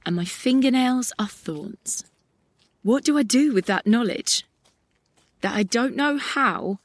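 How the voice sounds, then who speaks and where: Slow, reflective young woman, played by an actress. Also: medium pitch. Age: young adult. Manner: lyrical, reflective, deliberate. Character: melancholic, angry, idealistic young woman in a ruined city. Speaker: actor in an audio play. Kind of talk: poetic dramatic monologue.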